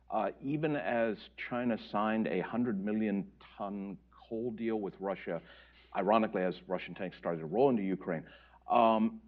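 A man speaking as a panelist in a policy discussion, explaining his points in an animated way. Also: on the low side. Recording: dull lo-fi audio.

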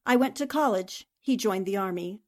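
A woman playing a defiant, sharp-witted young newspaperwoman held captive, speaking firmly and dramatically in old-time radio drama style. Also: mid-range voice, animated, normal speed, close to an everyday voice. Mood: guarded, defiant.

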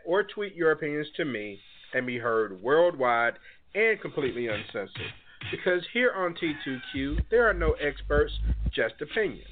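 A man with a mid-range voice hosts a call-in radio show, talking in an earnest, measured way with the odd filler. He is a little stuffed up from a cold. The sound is thin and tinny, as if it came through a phone line. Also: mid-range voice, slight background noise.

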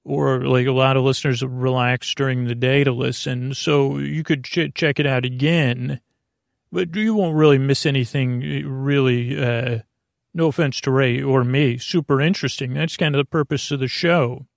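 A man hosting a sleep podcast in a casual, meandering monologue, halting and drifting off topic, with a gravelly creak in his voice. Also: mid-range voice, steady tone, dry recording, studio quality. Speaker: podcast host. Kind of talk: rambling casual monologue to help listeners fall asleep.

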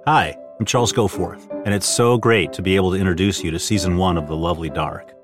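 Deep-voiced, expressive male narrator over music. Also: fast talker. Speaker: host and narrator. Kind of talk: scripted trailer narration.

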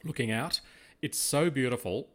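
A man co-hosting a conversational interview, speaking in a halting, emphatic and admiring way. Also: medium pitch. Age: middle-aged or older. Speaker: podcast co-host.

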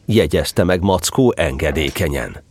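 A man's voice: extremely low pitch, animated, a little mellow, dry recording. A man narrating a scripted children's story as the storyteller of an audio drama.

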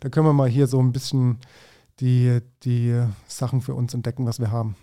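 A man with a mellow, soft-edged voice in casual podcast conversation. His pitch sits in an ordinary male range. He talks informally, mixing in slang and English expressions. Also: flat delivery, fairly quick. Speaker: food podcast co-host.

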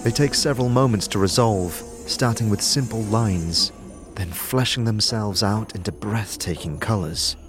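A man narrating a fantasy audio drama in a measured, scripted storytelling style. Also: lowish voice. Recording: music behind the voice.

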